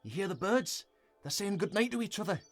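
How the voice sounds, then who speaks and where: A woman's voice: medium pitch, animated, a little fast, faint music behind, close to an everyday voice. A woman playing a friendly, mildly boastful fox guide in a family audio drama, playful and reassuring. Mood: knowing, playful.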